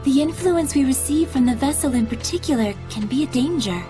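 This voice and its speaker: A woman playing a gentle, wise spirit adviser in a video game, speaking formally and with measured earnestness. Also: high voice, expressive, music in the background. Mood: earnest. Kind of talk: English video game dub acting.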